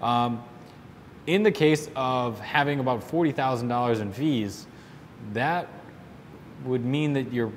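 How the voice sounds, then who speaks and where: A man's voice: measured pace, pauses often. A man hosting a question-and-answer show, giving informal, opinionated and explanatory advice off the cuff. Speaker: host answering listener questions on salon business. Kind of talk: casual unscripted business advice. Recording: roomy reverberant hall, low rumbling noise.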